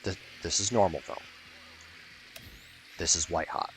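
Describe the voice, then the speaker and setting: A man's voice: sits low, normal speed, fairly bright tone, faint music behind. A man giving casual, rambling playthrough commentary as a gaming YouTuber, jokey and sweary.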